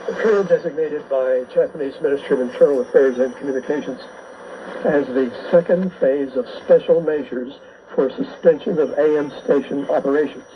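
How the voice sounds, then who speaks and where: A man's voice: fairly high pitch, normal speed, dull audio. Man reading news over noisy shortwave radio. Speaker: radio program host. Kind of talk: scripted shortwave listening news bulletin. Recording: noisy, band-limited shortwave radio reception.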